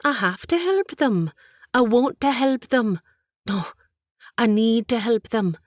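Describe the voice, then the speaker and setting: A woman's voice: a little high, sing-song, slow, dry recording, studio quality, pitched above the performer's own voice. A woman voicing an earnest, stubborn young woman who speaks with rising passion. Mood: impassioned. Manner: emotional, raised voice.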